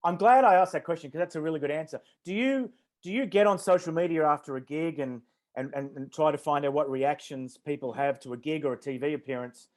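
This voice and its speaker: A man's voice: on the high side, quick pace, a little mellow. A man hosting an interview, asking long rambling questions full of ums and restarts. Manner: hesitant, many ums and restarts, chuckles. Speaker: interview host.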